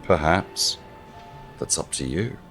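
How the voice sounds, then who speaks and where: A man's voice: a bass voice, expressive, slow, exaggerated voice. A man playing a grim, aloof god of death in a fantasy audio drama, terse and coldly dry. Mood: cool, somber. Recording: loud music behind the voice.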